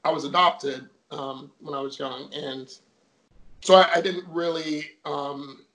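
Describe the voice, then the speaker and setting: A man's voice: fairly high pitch, steady tone, slow pace, a bit bright, poor audio. A young man from Jamaica, a guest in a casual interview, telling his story chattily with many fillers.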